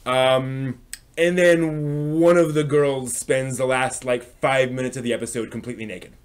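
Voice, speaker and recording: Man giving halting, discursive anime reviews. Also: medium pitch, steady tone, clear, resonant tone. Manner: halting and discursive, circles back to rephrase. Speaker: host of an anime news and review live stream. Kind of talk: casual unscripted review commentary.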